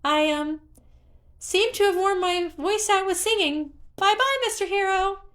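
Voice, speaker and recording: Casting: a woman as an excitable little forest spirit, squeaky high, bashful and exclamatory, for story narration. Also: measured pace. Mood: flustered. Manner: exclaiming, hesitant when flustered, singsong catchphrases.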